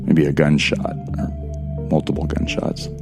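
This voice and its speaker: Very deep, brooding man, over music. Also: monotone, moderate pace, creaky. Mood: grim, wary. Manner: brooding first-person narration, profane. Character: tense, bitter young man narrating his horror ordeal. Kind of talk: scripted audio drama narration. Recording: music behind the voice.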